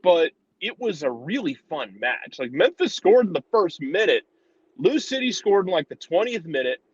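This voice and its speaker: A man's voice: sits high, sing-song, moderate pace, slightly rough, no echo, muffled recording. A man giving casual sports analysis in an informal, conversational way, repeating words for emphasis. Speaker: soccer show commentator.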